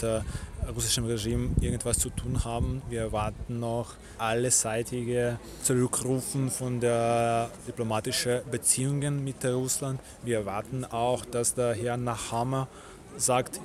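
A man with a Ukrainian accent and halting non-native grammar, speaking in a street interview as a protester. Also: medium pitch, flat delivery. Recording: crowd chatter around him. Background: crowd at a street protest.

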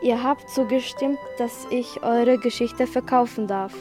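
A woman's voice: on the high side, fairly even intonation, measured pace, natural voice. A woman playing a smug, gloating famous author who declaims in repeated phrases in a radio play. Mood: smug, insistent. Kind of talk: school radio play acting. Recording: music bed behind the voice.

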